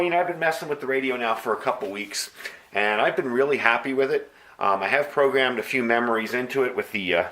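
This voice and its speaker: A man's voice: mid-range voice, fairly bright tone. A man with an American accent giving an informal, hands-on product demonstration, talking through each step as he goes. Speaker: radio hobbyist reviewing gear. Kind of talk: casual product review and demonstration.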